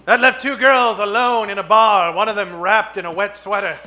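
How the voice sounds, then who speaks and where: A man's voice: high voice, steady tone, normal speed, sharp tone. A man narrating a comic radio play in a dramatic scripted style.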